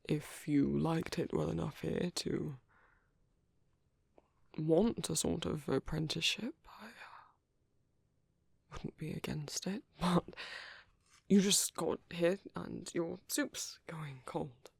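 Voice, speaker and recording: A performer voicing a gentle, reserved British vampire priest in soft, hesitant, breathy ASMR roleplay. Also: mid-range voice, takes it slowly, pauses often, no room sound, exaggerated voice. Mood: gentle, reassuring.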